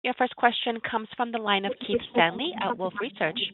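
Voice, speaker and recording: A woman's voice: a little high, a little fast. A woman working as a conference call operator, delivering scripted instructions. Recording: narrow phone-like line.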